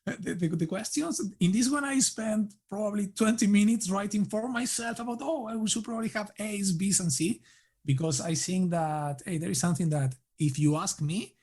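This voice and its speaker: A man with an Argentine Spanish accent speaks English as a second language, with Spanish as his first. His voice sits a little high for a man, and he talks in a relaxed, halting way, full of fillers and restarts. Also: breathy edge. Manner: halting, many restarts and fillers, laughs lightly. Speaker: guest. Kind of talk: casual interview answers.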